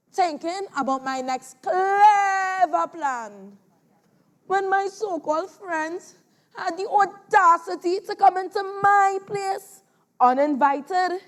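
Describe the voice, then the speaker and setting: A young boy with a Trinidadian accent playing a boastful, deceitful trickster spider, telling his tale theatrically on stage with drawn-out, exaggerated words. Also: very high voice, a bit bright, exaggerated voice. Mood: haughty. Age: child. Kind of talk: theatrical competition storytelling on stage.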